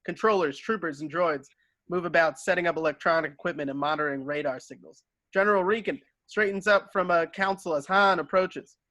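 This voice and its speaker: Bright higher-voiced man narrating a script. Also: brisk, dry recording. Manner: stumbles over words and corrects himself. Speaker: narrator reading stage directions. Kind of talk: scripted narration at an amateur table read.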